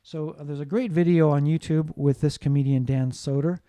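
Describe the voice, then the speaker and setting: Man, English teacher, lecture, explanatory, deep mellow timbre, dry close recording. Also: fairly even intonation, normal speed, no echo. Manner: explanatory, often checks in with "right?". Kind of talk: classroom lecture.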